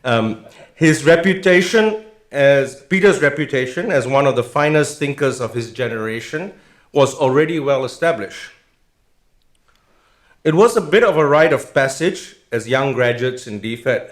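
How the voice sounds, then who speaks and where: A man's voice: mid-range voice, lively intonation, unhurried. A middle-aged man giving a formal speech of introduction as a host, telling anecdotes along the way. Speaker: host introducing a guest lecturer. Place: indoor public lecture event. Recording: roomy, echoing event venue sound.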